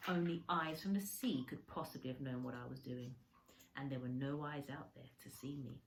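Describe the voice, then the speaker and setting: A woman's voice: low voice, slight background noise, rough recording. A woman reading a children's story aloud like a teacher, expressively, with dramatic emphasis on descriptive words.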